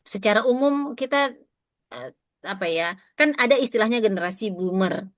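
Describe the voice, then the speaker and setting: A woman's voice: mid-range voice, lively intonation, normal speed, no room sound, studio quality. A woman in her thirties with an Indonesian accent, giving an interactive lecture to teachers, often addressing them directly and asking questions, with frequent fillers.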